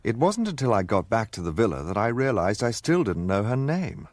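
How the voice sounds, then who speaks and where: Gentleman narrator telling his own story in a radio play, kinda deep, very dry studio sound. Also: very animated, fairly quick, no room sound. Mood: reflective, recounting. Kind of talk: scripted first-person radio drama narration.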